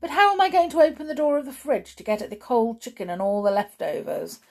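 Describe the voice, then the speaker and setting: A woman voicing a loud-mouthed, greedy boy who has been turned into a mouse, brash and indignant. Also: mid-range voice, big pitch swings, unhurried, husky.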